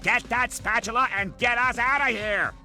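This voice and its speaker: A man voicing a sour, sarcastic adult male octopus in a cartoon game, nasal and deadpan. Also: extremely high pitch, normal speed, heavily acted. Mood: sarcastic, impatient. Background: music.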